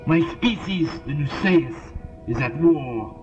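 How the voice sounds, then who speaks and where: Audio drama alien zealot soldier, male actor: higher-pitched, declamatory, vengeful, on muffled audio with prominent music. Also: animated, slow. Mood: solemn, bitter. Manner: formal, grandiose, declamatory speech. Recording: dull lo-fi audio drama, loud music underneath.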